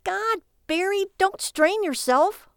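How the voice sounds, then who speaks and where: Woman acting irritated sarcastic wife, creaky voice. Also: fairly high pitch, lively intonation, moderate pace, no echo, studio quality, pitched above the performer's own voice. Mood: scornful. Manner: exasperated, scolding delivery. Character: irritated, sarcastic, weary wife, disdainful of her husband.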